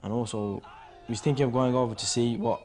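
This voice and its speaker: A man's voice: mid-range voice, steady tone, fast talker, a little mellow. A man, casually recalling events in an interview. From English Midlands. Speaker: documentary interviewee. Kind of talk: casual recollection.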